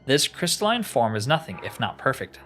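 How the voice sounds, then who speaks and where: A haughty ancient enchanter living as a crystal, put on by a man reading a game aloud. He is pompous and theatrical, with a mid-pitched voice that moves up and down a lot. Music plays softly behind. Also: big pitch swings, brisk. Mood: dismissive. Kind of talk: reading video game dialogue aloud in character.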